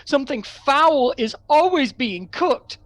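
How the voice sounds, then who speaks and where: A woman's voice: sits high, expressive, slow pace, no room sound. A woman playing an earnest, frightened boy in a radio play, telling of his torment in a haunted, hesitant way. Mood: haunted, fearful.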